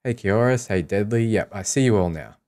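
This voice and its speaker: A man's voice: fairly low pitch, brisk, a little mellow. A man with an Australian accent hosting a livestream, chatting casually and thinking aloud in an informal way. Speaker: live streamer and host.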